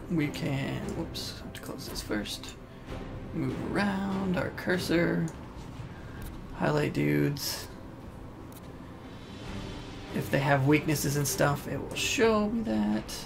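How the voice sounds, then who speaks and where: A man's voice: fairly high pitch, expressive, slow pace, creaky, pauses often. A man hosting a let's play, giving casual commentary and chatting to the game characters as he goes. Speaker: gaming video host. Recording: loud game music over the voice. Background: loud game music and sounds.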